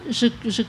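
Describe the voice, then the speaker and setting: A woman writer whose first language is Vietnamese, reading her text aloud and then answering questions in a soft, hesitant way with many halting restarts. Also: sits high, a little hiss or hum. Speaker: author. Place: public talk with an audience.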